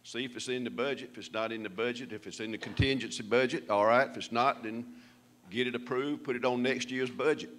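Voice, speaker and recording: A man's voice: mid-range voice, fairly even intonation, a little fast, a bit bright. Emphatic man laying out steps. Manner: emphatic, giving step-by-step advice. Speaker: workshop participant. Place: conference room.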